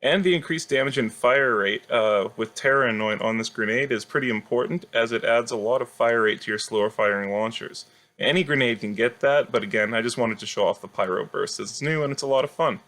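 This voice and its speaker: A man's voice: medium pitch, brisk, a bit bright. A man explaining a game build in a casual, instructional way, as a gaming video creator doing commentary.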